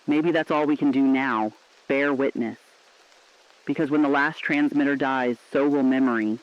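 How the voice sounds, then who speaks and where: Dull, band-limited audio carries a man acting as a solemn radio correspondent with a medium-pitched voice. He reports humanity's collapse in grave, deliberate, poetic scripted narration. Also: steady tone, natural voice. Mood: bleak, reflective. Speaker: radio broadcaster.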